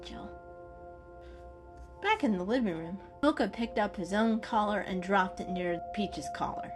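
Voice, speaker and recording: A woman narrates a storybook in a dramatic reading style. Her voice sits at a middle pitch, with music playing behind it.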